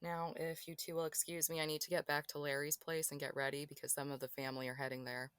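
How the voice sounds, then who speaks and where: A woman playing a cool, sharp-eyed female police detective with a guarded, curt manner. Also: low voice, little pitch movement, fast, no echo. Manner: crisp, guarded and dismissive. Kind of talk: amateur audio drama acting.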